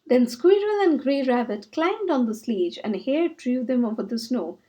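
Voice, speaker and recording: A woman reads a children's story aloud. Her voice is high, with lively, sweeping intonation suited to a picture book. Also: moderate pace, highs cut off.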